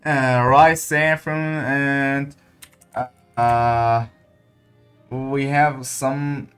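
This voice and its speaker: Slow-talking game streamer, halting English speaker. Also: mid-range voice, little pitch movement, fairly bright tone, clear, resonant tone, faint music behind. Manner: halting, searching for words. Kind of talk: casual livestream chat over gameplay.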